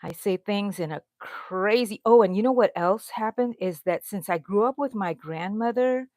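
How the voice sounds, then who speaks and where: A woman's voice: medium pitch, moderate pace, darkish tone, no echo. A middle-aged woman from Houston, chatting loosely with her livestream viewers while she crochets and answers their comments. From Houston, Texas. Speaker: crochet channel host.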